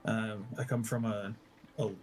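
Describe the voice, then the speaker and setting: Low-voiced man, slow and halting. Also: level tone, faint music behind. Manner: halting, self-correcting. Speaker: tabletop roleplay player. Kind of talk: improvised in-character storytelling at a game session.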